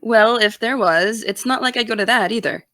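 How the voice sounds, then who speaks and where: A woman's voice: on the high side, normal speed, pushed and bright, no echo, clean studio sound. A woman playing a driven, distracted college-bound young woman in an audio drama, speaking casually and wryly. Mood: casual, guarded. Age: young adult. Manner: casual, wry. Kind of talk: naturalistic audio drama dialogue.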